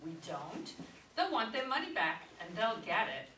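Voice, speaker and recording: Woman acting aggrieved forties wife, sharp bright tone, distant mic, contradicting, complaining, comedy play reading. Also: medium pitch, very animated, normal speed. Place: echoey room, mic away from performers. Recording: roomy distant stage recording, faint noise.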